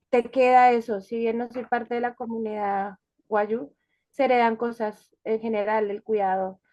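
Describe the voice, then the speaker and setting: Woman, higher voice, thoughtful. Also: no room sound, lo-fi sound. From Colombia, Bogotá. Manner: thoughtful, hesitant, many fillers and restarts. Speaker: interview guest. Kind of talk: conversational life-story interview.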